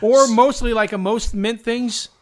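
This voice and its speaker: A man's voice: extremely high pitch, a little slow. A middle-aged man co-hosting a podcast in casual, jokey chat, telling stories with quoted asides. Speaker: podcast co-host.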